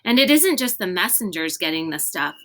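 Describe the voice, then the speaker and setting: An earnest young storybook boy, read by a woman, with a bright voice pitched slightly over the narration. He speaks cautiously and conscientiously, as one easily discouraged. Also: very animated, brisk. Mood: cautioning.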